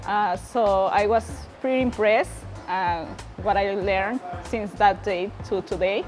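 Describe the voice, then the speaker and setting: A woman from Peru, a native Spanish speaker with a Peruvian Spanish accent, giving a student testimonial and often pausing to search for words. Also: medium pitch, a little mellow, faint music behind. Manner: halting, searching for words in non-native English. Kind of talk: personal testimonial to camera.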